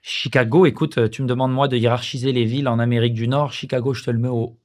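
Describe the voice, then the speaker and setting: Reflective French man, halting interview talk. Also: medium pitch, fairly even intonation. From France. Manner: reflective, frequent hesitations and restarts. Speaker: podcast guest, former pro footballer. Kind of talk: casual conversational interview.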